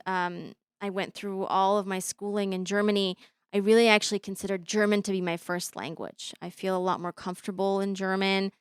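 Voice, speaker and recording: A woman in her thirties, born in Bosnia with Bosnian as her first language, telling her story as an interview guest with many pauses and fillers. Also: medium pitch, clean tone, no room sound, clean studio sound. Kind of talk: conversational interview.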